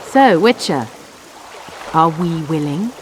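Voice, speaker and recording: Game sorceress: imperious, formal, drily sardonic, noisy watery backdrop. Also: female voice, sits low, lively intonation, takes it slowly. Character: haughty, sardonic adult sorceress, royal advisor. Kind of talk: dramatic video game dialogue. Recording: loud running water behind voice.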